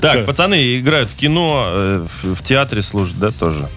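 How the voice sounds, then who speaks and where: A man's voice: medium pitch, big pitch swings, normal speed, fairly bright tone, faint music behind. A man co-hosting a morning radio show, chatting and teasing the guests. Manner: laughing, teasing. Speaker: radio co-host. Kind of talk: casual radio banter. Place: radio studio.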